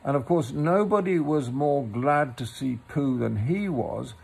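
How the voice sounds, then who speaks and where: A man reads a children's storybook at a slow, measured pace. His voice is very creaky and husky, with pauses and informal asides to the listener. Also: medium pitch, lively intonation, a little mellow. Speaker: storybook reader.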